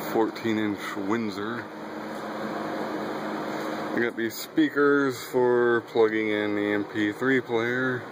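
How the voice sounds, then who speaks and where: A young man casually walking through his cluttered garage workshop, listing his fan collection in an offhand way with many fillers, filmed on a handheld phone. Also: on the low side. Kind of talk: casual handheld walkthrough narration. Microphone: handheld phone camera. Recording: loud background hum and room noise.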